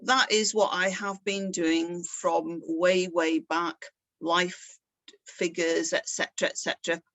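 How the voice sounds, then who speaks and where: A woman's voice: on the low side, level tone, slow, a bit bright, no echo, rough recording. Chatty woman giving an artist's talk. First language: English. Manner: chatty and self-deprecating, laughs often. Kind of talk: casual presentation.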